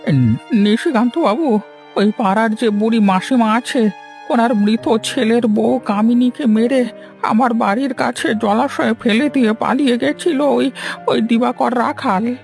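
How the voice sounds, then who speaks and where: A woman voicing a frightened, cowardly village man in a cartoon, stammering and halting in panic. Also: a little high, vocal fry, a little mellow, faint music behind. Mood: nervous. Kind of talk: Bengali cartoon voice acting.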